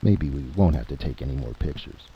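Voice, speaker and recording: A man voicing a steady, hopeful adventurer who reasons persuasively in a story reading. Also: rumbling low, brisk, creaky, dull audio, slight background noise, full character voice.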